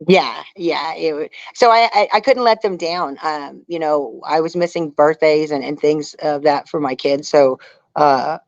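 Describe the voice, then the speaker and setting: A woman's voice: low-pitched, unhurried, no echo. Female interview guest from Texas, candid conversational storyteller, dull lo-fi and very dry recording. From Houston, Texas area. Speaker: interview guest, outdoorswoman and reality show contestant.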